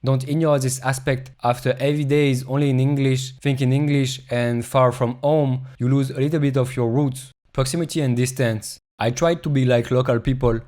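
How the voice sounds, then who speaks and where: Flat-voiced man narrating. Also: mid-range voice, moderate pace, few pauses. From Europe. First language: French. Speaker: travel vlogger. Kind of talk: reflective scripted voice-over narration.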